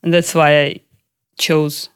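A young woman from Ukraine, speaking in a lively, chatty way as an interview guest. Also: deep voice, slow pace, smooth. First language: Russian. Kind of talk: casual conversational interview.

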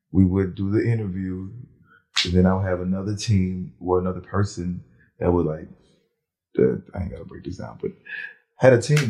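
A man's voice: low-pitched, gravelly, a little mellow, low-quality recording. A man hosting a podcast, asking blunt, slangy questions in casual interview chat. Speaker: podcast host.

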